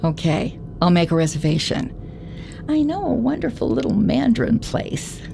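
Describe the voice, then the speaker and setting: An actress playing a candid, gently teasing love interest in a detective radio drama, speaking in a sincere, conversational way. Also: female voice, mid-range voice, big pitch swings, moderate pace, vocal fry, background noise.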